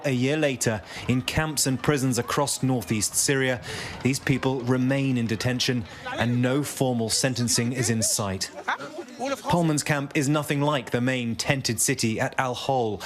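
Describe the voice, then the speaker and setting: A man narrating a scripted television news documentary as a reporter. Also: mid-range voice. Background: background music.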